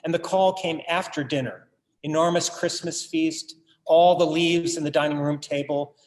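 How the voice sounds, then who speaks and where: An actor in a staged reading, playing a bereaved writer son who tells his family story in a measured, literary, wry way. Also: a little high, steady tone, close to an everyday voice. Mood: somber, reflective. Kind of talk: dramatic monologue in a staged reading.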